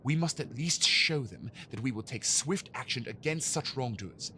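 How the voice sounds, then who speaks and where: An actor playing a harried, exasperated Victorian police inspector in an audio drama, gruff and formal. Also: male voice, medium pitch, lively intonation, moderate pace, sharp tone, a little hiss or hum. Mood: frustrated, defensive.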